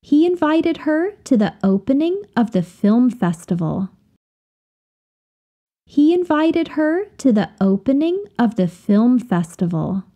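A woman with a fairly high, mellow voice reads practice sentences at an unhurried pace. Her pitch rises and falls in a lively, animated way. She enunciates carefully, like a teacher setting up drills. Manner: careful, deliberate, each phrase repeated for learners. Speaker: language teacher reading example sentences. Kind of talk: slow, clear drill reading of example phrases.

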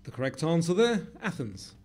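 A man's voice: a little high, big pitch swings, moderate pace, darkish tone. A middle-aged man reading scripted quiz narration as the presenter. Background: background music.

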